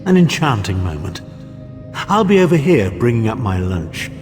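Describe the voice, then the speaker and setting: A man's voice: medium pitch, sing-song, normal speed, a little mellow, natural voice. An actor playing a haughty, impatient nobleman in a fantasy game, with a dry, arch, sneering manner. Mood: sardonic. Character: haughty, sardonic, impatient male nobleman companion. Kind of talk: fantasy video game acting. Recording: long reverb, faint music.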